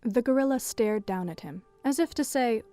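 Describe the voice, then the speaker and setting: A woman's voice: a little high, lively intonation, normal speed, dark tone, faint music behind. A woman narrating a scripted adventure story for a fan audio drama.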